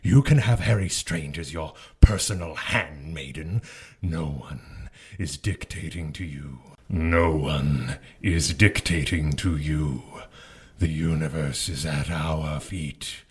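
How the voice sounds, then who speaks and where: A man playing a scheming, seductive demon lord in an audio drama, with a husky rasp and a mocking, persuasive manner. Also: rumbling low, full character voice. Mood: grandiose, tempting. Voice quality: husky, raspy. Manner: slow, mocking, theatrical persuasion. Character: scheming male demon lord, seductive tempter hungry for power.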